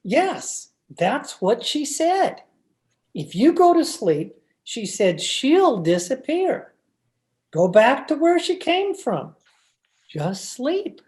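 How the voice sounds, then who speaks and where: Woman playing a high-voiced, stammering meek husband. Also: very animated, slow pace, darkish tone, heavily acted. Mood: evasive, uneasy. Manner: stammering, hesitant. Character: timid, henpecked, frightened husband, a meek little man. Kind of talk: old time radio horror acting. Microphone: video call.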